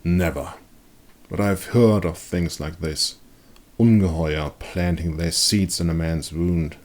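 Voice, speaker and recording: A man voicing a grim veteran monster hunter in a dark fantasy story, speaking gravely and honestly. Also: sits low, fairly even intonation. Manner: grave, hushed, deliberate.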